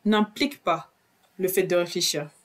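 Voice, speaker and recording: A woman's voice: fairly low pitch, moderate pace. A woman giving live interpretation of a sermon, repeating each phrase right after the preacher.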